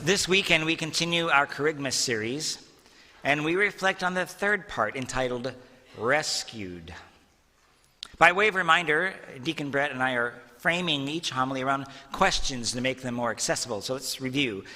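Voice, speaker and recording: A man, a priest, giving an earnest, measured homily and asking the congregation rhetorical questions. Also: medium pitch, expressive, sharp tone. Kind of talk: sermon. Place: church. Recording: long church reverb.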